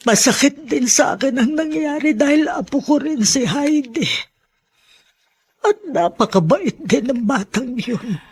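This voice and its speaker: Aggrieved elderly Filipino grandmother, very raspy and breathy, slow, sorrowful, radio drama. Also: high-pitched, animated, exaggerated voice. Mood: hurt, bitter. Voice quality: husky, breathy. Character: weary, aggrieved older mother and grandmother.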